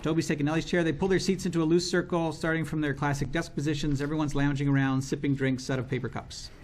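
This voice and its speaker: A man's voice: on the high side, flat delivery, fast. A man reading stage directions aloud from a script in a plain, informal way. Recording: faint background room noise.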